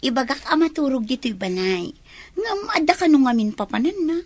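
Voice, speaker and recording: A woman's voice: high voice, lively intonation, fairly quick. An actress playing a coy, scheming young woman in a radio drama. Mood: coy, insinuating.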